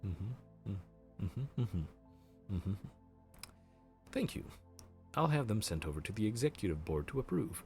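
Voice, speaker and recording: A man voicing a helpful grim reaper clerk in an audio roleplay, polite and bureaucratic as he walks through the paperwork. The voice sits very low but moves up and down a lot. Soft music plays faintly underneath. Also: very animated, measured pace, long pauses. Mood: courteous. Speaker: underworld front-desk worker.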